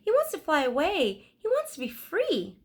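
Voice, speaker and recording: A woman's voice: very high voice, huge pitch swings, moderate pace, pitched above the performer's own voice, full character voice. A woman voicing an eager, impulsive young girl with a tender heart, speaking earnestly and insistently in a storybook reading. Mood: pleading.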